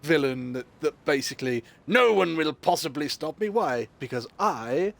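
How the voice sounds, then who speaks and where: A man's voice: a little high, expressive, normal speed, gravelly, fairly bright tone. A British man streaming a game, chatting casually in a rambling, joking way and laughing often. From United Kingdom. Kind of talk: casual chat while playing a game live.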